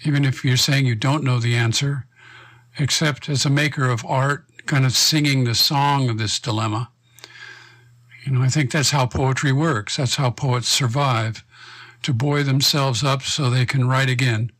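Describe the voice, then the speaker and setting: A man's voice: medium pitch, fairly bright tone. Reflective man reading his poetry. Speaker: poet. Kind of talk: reading and discussing his poem.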